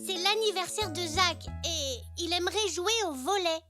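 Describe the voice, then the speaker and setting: Very high-pitched eager little zebra kid. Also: normal speed, over music. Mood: reassuring. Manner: eager, encouraging. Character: cheerful, resourceful little boy zebra. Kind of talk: children's cartoon dubbing.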